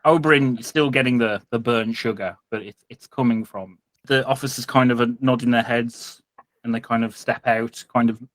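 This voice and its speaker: A man's voice: medium pitch, no room sound, rough recording. British man narrating, dry close sound. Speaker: game master. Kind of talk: improvised tabletop game narration.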